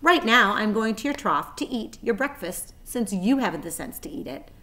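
A woman's voice: mid-range voice, animated, normal speed, pushed and bright. Woman as a sour, crafty barn rat. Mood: sour, dismissive. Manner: sour, dismissive.

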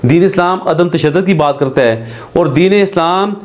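A middle-aged man with a Pakistani Urdu accent gives earnest, measured commentary straight to camera from a studio, pausing often with hesitations. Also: high-pitched. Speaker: advocate commentator. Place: studio with green screen backdrop.

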